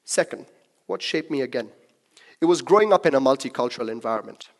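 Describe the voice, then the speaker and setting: Young man, from Kenya, relaxed talk speaker, chatty with the audience. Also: medium pitch, quick pace, vocal fry. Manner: conversational, jokes with the crowd. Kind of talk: personal talk to a live audience.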